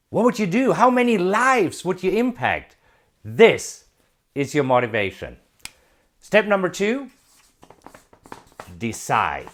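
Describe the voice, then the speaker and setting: A man in his forties giving an emphatic motivational talk, asking rhetorical questions and building excitement. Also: fairly high pitch. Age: 40s. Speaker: self-help video presenter.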